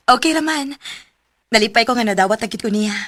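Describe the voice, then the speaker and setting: Sweet devoted young cebuano girlfriend, radio drama, highish slightly husky voice. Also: normal speed, fairly bright tone, no echo. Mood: happy, reassuring.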